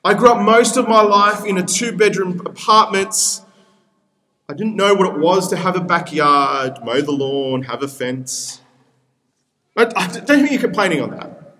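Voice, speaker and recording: Earnest Peruvian-born man preaching, fairly high. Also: animated, pushed and bright. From Peru. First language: Spanish. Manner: earnest, with repeated appeals and pauses. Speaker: pastor. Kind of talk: sermon.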